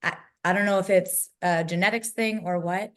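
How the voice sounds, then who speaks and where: Low-voiced woman moderating a panel. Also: fairly even intonation, normal speed, a bit bright. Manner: emphatic, talks in building lists. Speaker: summit moderator. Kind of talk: virtual panel discussion. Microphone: video call.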